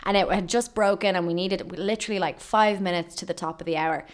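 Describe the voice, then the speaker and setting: Irish woman, 30s, low-ish voice, chatty rambling guest storyteller. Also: a little fast. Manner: chatty storyteller, lots of fillers, laughs often. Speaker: guest, radio journalist. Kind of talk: casual podcast chat.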